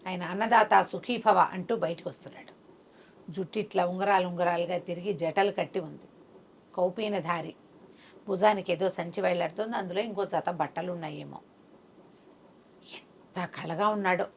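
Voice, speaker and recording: A woman's voice: on the low side, moderate pace, vocal fry, long pauses, a little hiss or hum. A woman tells a folk tale conversationally to a listener, voicing the characters' dialogue as she goes. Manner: acts out characters' dialogue within the narration. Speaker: storyteller.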